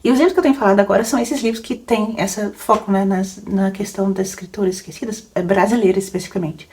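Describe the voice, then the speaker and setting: A woman's voice: mid-range voice, steady tone, fast talker, darkish tone. A young adult woman, a book podcaster rambling casually through questions, laughing often and full of fillers. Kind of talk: casual Q&A chat.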